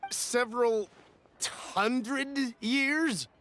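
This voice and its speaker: An actor playing an anxious, cautious merchant in a video game, speaking in a worried, polite and excitable way. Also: mid-range voice, very slow, a little hiss or hum. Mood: worried, doubtful. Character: anxious, cautious merchant fretting over money.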